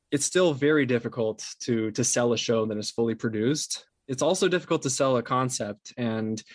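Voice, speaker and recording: A man being interviewed as a podcast producer, explaining things conversationally in a halting way with many restarts. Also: mid-range voice, no echo, clean studio sound.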